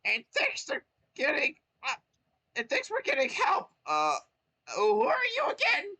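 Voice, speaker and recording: Man doing a shrill yelling mushroom retainer. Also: wildly swooping pitch, measured pace, full character voice. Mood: excited. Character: injured frantic male mushroom retainer.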